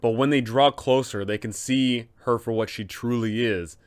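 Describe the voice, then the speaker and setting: A man with a clean, smooth voice at a middle pitch presents advice for tabletop game masters. His delivery is scripted and emphatic, built on deliberate phrases and rhetorical questions. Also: fairly even intonation. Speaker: host, game master advisor. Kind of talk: scripted explainer on running a tabletop campaign.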